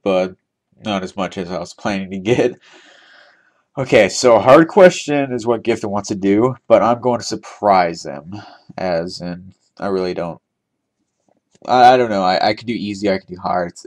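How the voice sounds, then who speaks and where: A young man hosting an online game trivia giveaway, chatting casually and thinking aloud with frequent laughs, recorded on a desk microphone. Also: sits low. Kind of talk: casual gameplay commentary.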